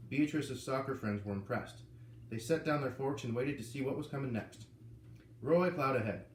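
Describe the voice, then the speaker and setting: A man reading a children's novel aloud, lively and putting on voices for the characters. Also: mid-range voice, moderate pace, distant mic. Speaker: reader. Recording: echoey room with faint ambient noise.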